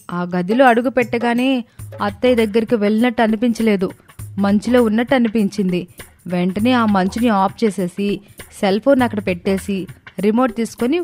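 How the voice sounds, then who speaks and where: Woman acting plucky high-pitched young daughter-in-law. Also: normal speed, darkish tone, faint music behind, lightly acted. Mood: earnest, arguing. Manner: earnest, argumentative, explaining. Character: young daughter-in-law, dutiful, thrifty, plucky but respectful. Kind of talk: dramatized moral story dialogue.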